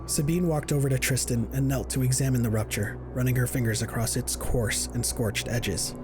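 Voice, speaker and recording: A man's voice: mid-range voice, steady tone, moderate pace. A man reading scripted narration for a science fiction audio drama. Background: music.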